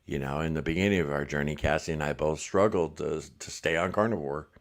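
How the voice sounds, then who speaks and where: A man's voice: rumbling low, brisk. A middle-aged man hosting a casual livestream, chatting and reading comments, a little congested. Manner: relaxed, chatty, laughs often. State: congested, blocked ear. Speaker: livestream host.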